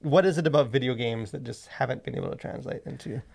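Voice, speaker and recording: A man's voice: medium pitch, fast, vocal fry, darkish tone, breathy edge. A man co-hosting a casual podcast discussion, talking in a rambling way as he thinks aloud. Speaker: podcast co-host.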